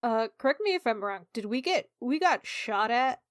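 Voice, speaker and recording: A woman's voice: on the high side, lively intonation, moderate pace, no echo, studio quality. A woman talking casually as a player in a tabletop roleplaying game.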